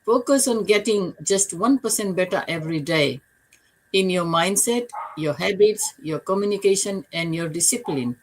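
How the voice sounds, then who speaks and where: An older woman from Fiji who speaks as a panelist, earnest and steady. Her voice sits a little low. She delivers encouragement in measured phrases. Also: a little hiss or hum, low-quality recording. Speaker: panelist, retired business mentor. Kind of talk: online panel talk.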